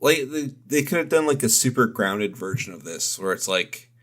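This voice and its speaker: A man's voice: medium pitch, expressive, normal speed, a bit bright. A man co-hosting a podcast, chatting easily about a movie. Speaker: podcast co-host. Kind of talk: casual movie review chat.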